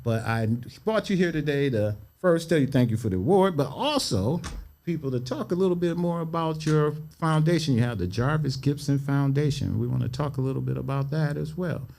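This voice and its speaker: A man's voice: fairly high pitch, expressive, fairly quick, gravelly, a little mellow. A man hosting a podcast interview in a studio, easygoing and slangy. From Cleveland, Ohio. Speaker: podcast host. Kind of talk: casual interview chat.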